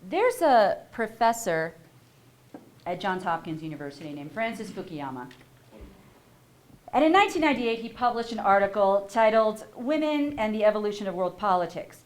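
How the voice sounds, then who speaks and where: A woman giving a university lecture, animated and asking the audience questions as she goes. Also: medium pitch, moderate pace. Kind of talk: public lecture. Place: lecture hall.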